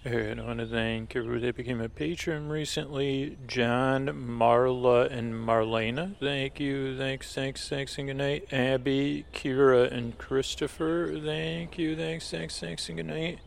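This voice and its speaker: A man hosting a podcast, reading thanks and show notes in a casual, rambling, low-key way with a creaky voice. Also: fairly even intonation, unhurried, hardly any pauses. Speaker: podcast host. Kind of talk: casual thank-you and sponsor read.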